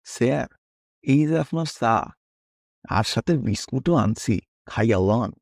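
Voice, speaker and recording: A man playing a kindly, worried old village tea server with deferential, rustic, fatherly speech in an animated horror story. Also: medium pitch, takes it slowly, vocal fry, no echo, clean studio sound, full character voice. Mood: humble, deferential. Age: older.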